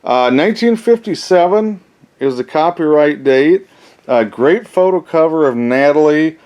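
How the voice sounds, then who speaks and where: A man's voice: on the high side, lively intonation, unhurried, a little mellow, clear, resonant tone. A man hosting a casual, unscripted collector's haul video, thinking aloud in a rambling way with folksy phrasing. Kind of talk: casual show-and-tell, unscripted.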